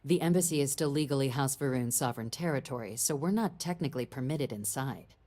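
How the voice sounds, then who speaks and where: Game role: composed female official, very deep voice, formal, dryly wry briefings. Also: fast, mellow. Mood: businesslike, firm. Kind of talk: scripted video game dialogue.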